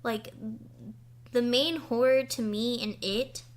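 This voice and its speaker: A young woman co-hosting a casual podcast chat, excitable and gushing about things she loves. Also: fairly high pitch. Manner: excitable and gushing, quick to say she will cry. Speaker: podcast co-host.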